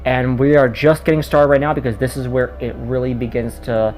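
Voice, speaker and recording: A man's voice: medium pitch, level tone, a little fast, a little mellow, faint music behind. A man giving a casual spoken video review in a rambling way, often stumbling over his words. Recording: noticeable background noise.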